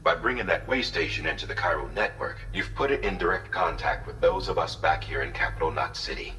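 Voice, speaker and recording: A man's voice: rumbling low, brisk, pushed and bright, recorded from a distance, slight background noise, low-quality recording. An actor playing a composed male mission commander, giving formal, instructive briefings in a game. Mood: businesslike. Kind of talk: game cutscene briefing, measured exposition.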